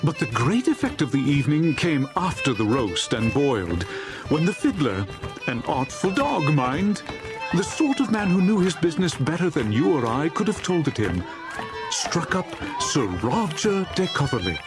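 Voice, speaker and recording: A man's voice: on the high side, big pitch swings, moderate pace. A man narrating a dramatized storybook in a theatrical, jovial manner. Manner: theatrical, jovial, relishing lists. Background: music.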